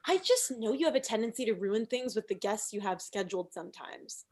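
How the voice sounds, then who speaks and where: A woman's voice: mid-range voice, animated, brisk, darkish tone, slight background noise, natural voice. A woman playing a brash, meddling comedian of thirty in a comedy table read, breezy, cheeky and oblivious. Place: video call.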